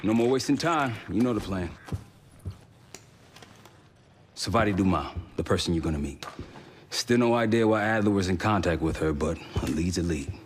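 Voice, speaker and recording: Low-voiced, serious intelligence operative. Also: male voice, expressive, slight background noise. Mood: businesslike. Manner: measured, serious, clipped phrasing. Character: grave, determined male intelligence operative briefing his team. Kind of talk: scripted game cutscene narration and dialogue. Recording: music behind the voice. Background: music.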